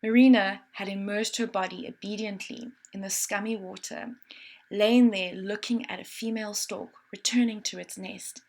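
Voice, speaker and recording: A woman's voice: medium pitch, fairly even intonation, moderate pace, a little mellow. A woman narrating literary fiction as an audiobook reader, following a scripted text.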